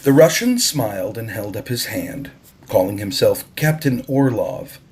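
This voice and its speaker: Husky-edged man narrating a story. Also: lowish voice, expressive, unhurried. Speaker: audiobook narrator.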